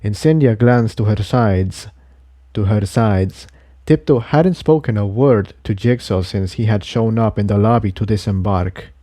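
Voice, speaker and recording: A man's voice: on the low side, a little slow, warm tone, dry recording. A man reading scripted fantasy fiction aloud as a storyteller. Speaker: fan fiction reader.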